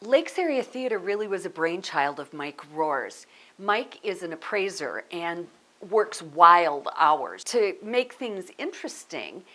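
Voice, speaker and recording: A woman's voice: lowish voice, pushed and bright. An American woman, a theatre director, talking casually in an interview. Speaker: artistic director of radio theatre.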